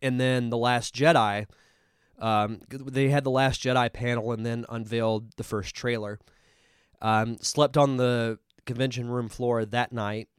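A man's voice: mid-range voice, fairly even intonation, measured pace, clear, resonant tone, no room sound, clean studio sound. A man with a congested voice hosting a live podcast, chatting casually and enthusiastically as he reviews a film. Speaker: podcast host.

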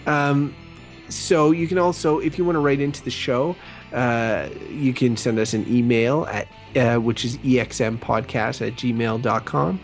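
A man's voice: medium pitch, fairly even intonation, unhurried, soft background music. A man co-hosting a podcast in casual banter, chatty and rambling, with many fillers. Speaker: podcast co-host.

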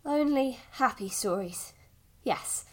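A woman playing a patient village mother in an audio drama, softly coaxing and a little evasive about the past. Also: fairly high pitch, lively intonation, slow pace, poor audio. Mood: tender.

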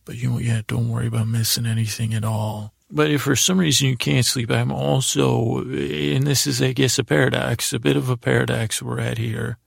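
A middle-aged man with a gravelly, creaky voice hosting a bedtime podcast, meandering and hesitant in a goofy way, often trailing off and restarting. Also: mid-range voice, steady tone, moderate pace, hardly any pauses, no room sound, clean studio sound. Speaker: podcast host. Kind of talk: rambling bedtime sleep podcast monologue.

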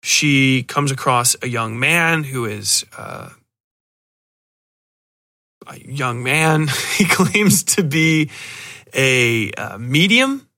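A man's voice: medium pitch, lively intonation, extremely slow, pauses often, bright, forceful tone. A man hosting a podcast, giving a casual, rambling review in a sardonic, exasperated way. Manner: sardonic, exasperated, mockingly acts out lines. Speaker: podcast host.

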